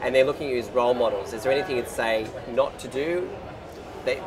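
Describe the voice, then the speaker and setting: A man's voice: medium pitch, fast, over music, low-quality recording. A man interviewing two guests in a chatty, playful way. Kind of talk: casual interview. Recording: loud crowd behind.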